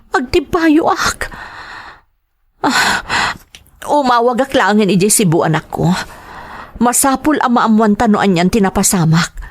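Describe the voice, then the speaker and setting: A middle-aged Filipino woman acting in a radio drama as an anguished wife and mother whose husband is missing, tearful, sighing and pleading. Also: fairly high pitch.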